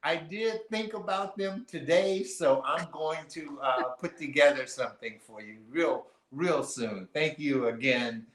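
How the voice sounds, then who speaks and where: A man's voice: fairly high pitch, a little slow, few pauses. Guy cohosting a live stream, easygoing and rambling, lots of uhs, echoey room. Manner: relaxed, rambling, lots of fillers and restarts. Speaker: co-host of a live online artist marketplace show. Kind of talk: casual live-stream chat. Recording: roomy echo.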